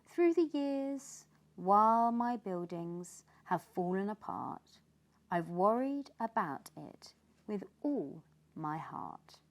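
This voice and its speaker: A British woman reads a children's tale aloud. Her narration is dramatic and expressive, sweeping widely in pitch while taking its time over each phrase. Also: takes it slowly. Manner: expressive, with hushed dramatic passages. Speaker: storyteller.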